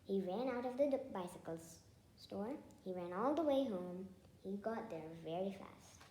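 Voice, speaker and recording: A woman reading a children's storybook aloud with expressive, deliberate phrasing. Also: mid-range voice. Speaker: storybook reader. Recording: echoey room, faint background noise.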